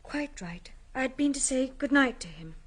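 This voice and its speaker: A woman's voice: high-pitched, lively intonation, moderate pace, fairly bright tone, husky, heavily acted. An actress playing an anxious, earnest, well-bred young woman in a radio drama. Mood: bewildered, anxious.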